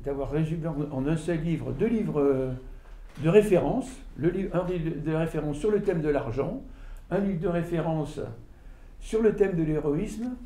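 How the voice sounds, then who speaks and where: Older man, author lecturing, dark mellow voice, halting and animated, caught in a roomy hall by a far camera. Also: on the high side. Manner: animated, halting delivery with frequent fillers and restarts. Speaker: author giving a book talk. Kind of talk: informal lecture to an audience. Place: small room with audience, indoors. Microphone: far camera, no mic seen. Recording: echoey room from far off.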